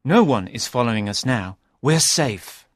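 A man voicing a brave, eager young man in a read-aloud storybook, with lively questioning speech. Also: medium pitch, unhurried, no echo, studio quality, pitched above the performer's own voice, natural voice.

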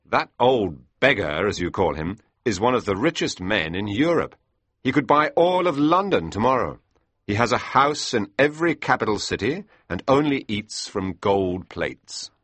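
A man playing a wry, teasing young painter with a low voice that moves a lot in pitch. The sound is dry and close, like a storybook reading. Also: moderate pace, slightly rough, no echo, full character voice. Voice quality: wry, relaxed. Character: amused young male painter, teasing and easygoing.

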